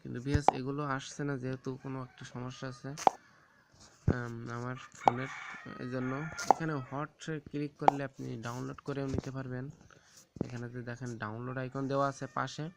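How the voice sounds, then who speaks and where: A man gives an informal, halting step-by-step phone app tutorial, often repeating himself. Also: mid-range voice, level tone, gravelly. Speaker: tech tips tutorial host. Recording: very noisy, rooster crowing and clicks behind.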